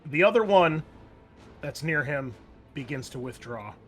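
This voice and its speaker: A man's voice: a little high, animated, unhurried, music in the background. A man running a tabletop game, narrating action dramatically in casual, profanity-laced speech. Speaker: game master.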